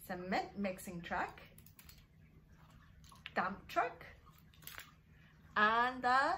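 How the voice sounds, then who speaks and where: A woman speaks to young children as their teacher, in a slightly high voice at a slow pace. She is sing-song and encouraging, posing questions and leaving gaps for answers. Faint noise sits behind her voice. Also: fairly bright tone. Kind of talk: interactive preschool lesson for young children.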